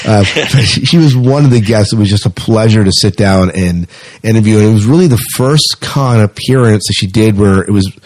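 A middle-aged man with a fairly low voice hosts a podcast in an informal, conversational way. The sound is very dry, with little room around the voice. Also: a little fast, darkish tone, dry recording, poor audio. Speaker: podcast host. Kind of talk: casual podcast chat.

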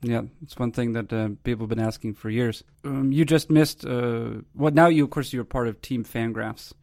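A man's voice: mid-range voice, fairly even intonation, normal speed, a little mellow, no echo. A man hosting a podcast with dry, wordy, mock-formal delivery and wry banter. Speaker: podcast host.

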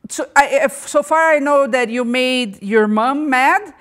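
Brief: enthusiastic female podcast host, slightly high voice, video-call sound. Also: a little slow, fairly bright tone. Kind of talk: live podcast interview.

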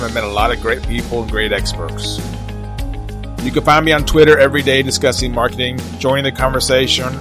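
A middle-aged man hosting a podcast, interviewing in a conversational style. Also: medium pitch. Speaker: podcast host. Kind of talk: conversational interviewing with scripted intro.

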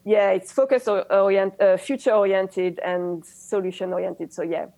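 A woman with a French accent, a native French speaker, talking as a guest about coaching in a casual interview, enthusiastic and halting, with many restarts. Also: mid-range voice, fairly even intonation, vocal fry, mellow, no room sound, rough recording.

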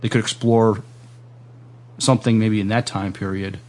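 A man hosting a casual podcast interview, speaking in a tentative, halting way as he works through his phrasing. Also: lowish voice, flat delivery, a little mellow.

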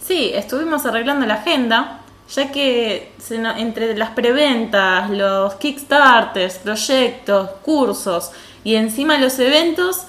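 Argentine woman co-hosting a podcast, chatty, voice a bit high, roomy echo. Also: slow, fairly bright tone. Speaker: podcast co-host. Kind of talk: casual podcast chat.

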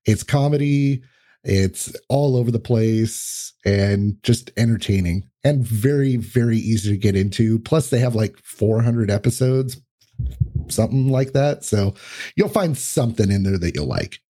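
A man's voice: lowish voice, measured pace, dark tone. A man talking as a podcast guest, explaining things in a casual, conversational way.

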